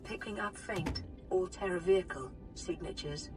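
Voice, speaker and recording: A woman voicing a calm female AI computer that gives even, clipped status reports. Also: fairly low pitch, normal speed, natural voice. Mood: informative. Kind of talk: in-game system announcements. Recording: distant voice under loud game music.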